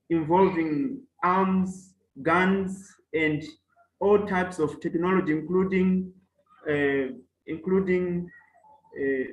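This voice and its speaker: High-voiced man, echoey room. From Mozambique. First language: Chewa. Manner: measured and halting, with many fillers and restarts. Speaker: professor and panelist. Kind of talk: online academic panel talk. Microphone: video call.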